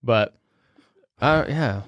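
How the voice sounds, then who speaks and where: A young man in loose podcast chat, joking and full of fillers, his voice leaping up when he mimics relatives. The sound is dry and close, with no room around it. His pitch sits in an ordinary middle range. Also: extremely slow, no echo. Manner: animated, joking, lots of fillers, jumps high in pitch when quoting people. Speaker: podcast co-host. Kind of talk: casual chat.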